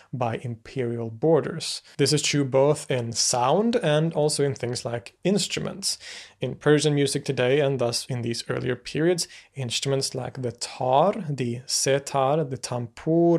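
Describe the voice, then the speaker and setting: A man narrating an educational video in a measured lecture style, with occasional fillers and self-corrections. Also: medium pitch.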